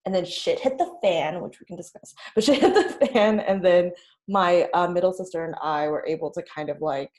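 Chatty woman, low voice, lots of "like". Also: lively intonation, normal speed, a little mellow. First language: Vietnamese. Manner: chatty, filler-heavy, frequent "like" and laughs. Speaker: podcast guest, songwriter. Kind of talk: candid conversational storytelling.

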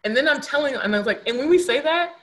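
A woman's voice: sits high, animated, fast, a bit bright. A young adult woman speaking candidly as a podcast guest, earnest and reflective, repeating words for emphasis. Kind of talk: candid conversational storytelling.

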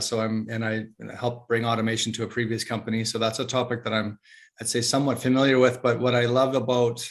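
A man hosts a casual podcast interview at a middle pitch, stopping and restarting as he goes. His delivery is unhurried and friendly in tone. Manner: halting, with many fillers. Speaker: podcast host.

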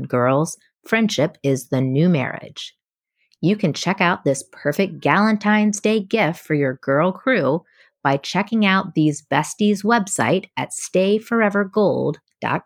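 A woman's voice: on the low side, no room sound, clean studio sound. A woman hosting a podcast, speaking in a scripted presenter style and interviewing guests. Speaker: podcast host and psychologist.

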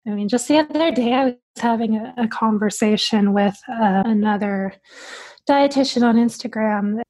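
A woman's voice: fairly high pitch, moderate pace, darkish tone, poor audio. A woman speaking as a dietitian guest on a podcast, answering in a direct, earnest way.

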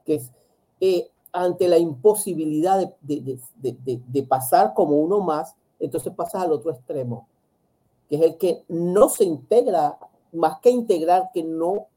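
A man's voice: on the high side, measured pace, warm tone, breathy edge, rough recording. A man, a psychologist, talking reflectively in an interview, often restarting and repeating words. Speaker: psychologist guest. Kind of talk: interview conversation.

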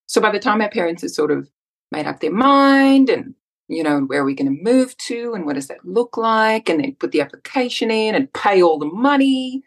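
A woman, a podcast guest telling her life story in a reflective, lively way. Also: mid-range voice. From South Africa. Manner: reflective, animated when retelling scenes. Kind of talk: casual interview storytelling.

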